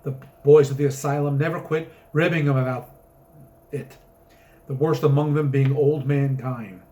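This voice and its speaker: A man's voice: medium pitch, steady tone, normal speed, mellow. A man reading a story aloud as its author, narrating with theatrical, emphatic comic drama.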